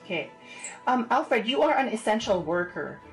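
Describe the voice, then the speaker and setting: A woman hosting an interview in a polite, measured, encouraging way. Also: a little high, big pitch swings, soft background music. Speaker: interviewer, show host. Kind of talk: interview questioning.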